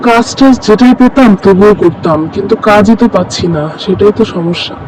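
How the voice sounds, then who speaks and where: Man voicing high-pitched earnest young village son. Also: brisk, close to an everyday voice, poor audio. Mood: dejected. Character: earnest young unemployed village son, frustrated but dutiful. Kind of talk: cartoon story voice acting.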